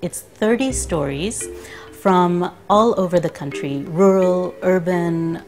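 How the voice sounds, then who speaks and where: A woman's voice: lowish voice, takes it slowly, a little mellow. A woman presenting a book in a short promotional introduction. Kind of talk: promotional introduction to camera or voice-over. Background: music.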